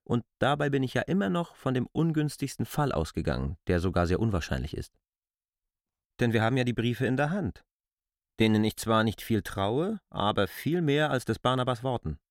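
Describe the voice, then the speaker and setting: An actor playing an earnest, argumentative stranger in a literary radio drama, reasoning insistently in long, deliberate clauses. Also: male voice, mid-range voice, very animated, dry recording, studio quality.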